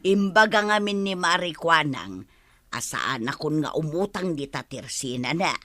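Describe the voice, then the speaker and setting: A woman's voice: low-pitched, big pitch swings, unhurried, bright, forceful tone, no room sound, full character voice. An actress with an Ilocano accent playing a complaining, stubborn village woman in a radio comedy drama.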